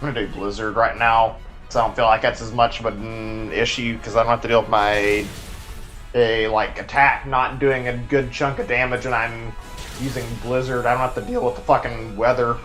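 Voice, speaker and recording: A man's voice: mid-range voice, little pitch movement, normal speed, fairly bright tone, faint music behind. A man from Texas with a Texan accent, chatting loosely to his live-stream viewers as he plays, with lots of likes and restarts. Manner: rambling, filler-heavy, answers chat as he plays. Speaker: game streamer. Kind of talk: casual live-stream chat while playing.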